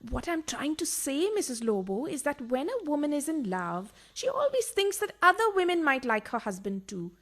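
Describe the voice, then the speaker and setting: An actress playing an exasperated mistress who poses as a fussy wife in a radio farce, bossy yet over-polite when cornered. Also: female voice, sits high, sing-song, normal speed, few pauses. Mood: apologetic. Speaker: actor.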